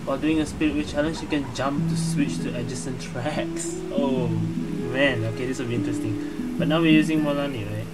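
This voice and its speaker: A woman's voice: a bass voice, moderate pace, few pauses, rough recording. A woman giving casual gameplay commentary as a streamer, reacting in short, repeated phrases. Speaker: game streamer. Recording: loud game music behind the voice. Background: video game music.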